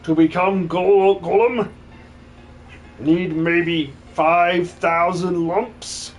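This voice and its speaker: A man's voice: sits high, fairly even intonation, very slow, faint music behind. A man voicing a big, friendly, simple-minded metal golem who talks in broken caveman-like speech, in a comic game dub. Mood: proud, earnest.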